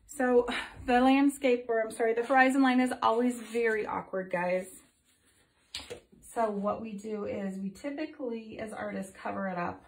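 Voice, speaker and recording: A woman's voice: fairly high pitch, steady tone, moderate pace. Chatty woman painting in echoey room. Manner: chatty, explains while painting, thinks aloud with ums. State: voice a bit off from recent illness. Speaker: art teacher demonstrating painting. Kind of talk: casual painting tutorial. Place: home art studio room. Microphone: phone camera overhead, speaker off to the side.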